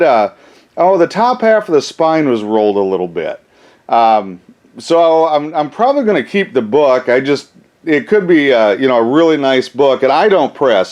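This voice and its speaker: Folksy man, slightly higher voice. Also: a little mellow. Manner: folksy, rambling, informal. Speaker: collector and seller showing his flea market finds. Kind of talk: casual show-and-tell vlog.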